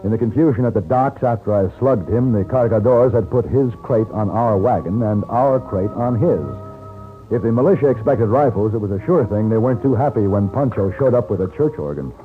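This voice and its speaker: A man's voice: on the low side, a little fast, soft background music, lightly acted. An actor playing a shrewd, persuasive American gunfighter in an old radio western, assured and wry as he acts and narrates. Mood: wry narrating. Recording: tinny, noisy old radio recording.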